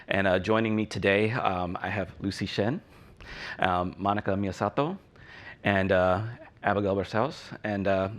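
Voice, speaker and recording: A man's voice: low voice, moderate pace. A man moderating a panel discussion, hesitant and filling with many ums as he reads prepared remarks.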